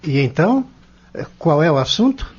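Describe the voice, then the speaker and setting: A man's voice: on the high side, sing-song, normal speed, husky, lo-fi sound. An actor playing an earnest, curious young man in an audio drama, polite and questioning. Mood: polite, firm.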